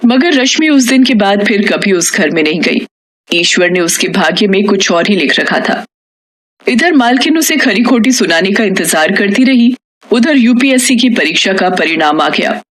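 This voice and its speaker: A woman's voice: mid-range voice, expressive, moderate pace, fairly bright tone. A woman reading a scripted moral tale as storyteller, in narration.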